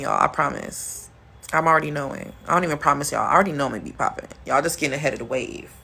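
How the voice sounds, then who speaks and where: Deep-voiced woman chatting on a livestream. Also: bright, forceful tone. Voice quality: deep, smooth, sultry voice. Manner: playful and chatty, with bursts of high laughter. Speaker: livestream host. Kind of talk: casual livestream chat, answering comments.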